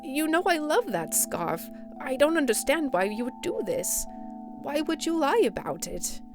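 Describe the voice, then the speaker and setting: Woman acting grandiose, teasing skeleton brother, pitched up. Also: sing-song, measured pace, music in the background, heavily acted. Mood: crestfallen. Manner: grandiose, formal, coaxing. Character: bold, theatrical, teasing skeleton younger brother.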